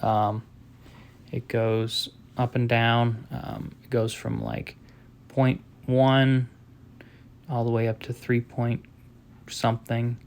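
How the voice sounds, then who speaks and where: A man giving an informal step-by-step tutorial as a product demonstrator, explaining in a halting, matter-of-fact way. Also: medium pitch, level tone, gravelly, a little hiss or hum.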